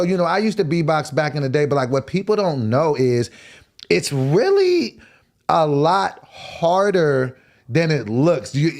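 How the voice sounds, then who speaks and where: A man's voice: fairly high pitch, creaky. A man in his forties hosting a live-streamed talk show, laid-back and chatty, asking long rambling questions and saying "gotcha" a lot. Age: 40s. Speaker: host. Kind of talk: casual interview chat. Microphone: live stream call.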